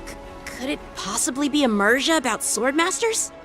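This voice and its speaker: A woman's voice: high-pitched, animated, normal speed, fairly bright tone, faint music behind. A woman voicing a polite, earnest teenage boy swordmaster in an English video game, patient, courteous and instructive. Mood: curious, amused.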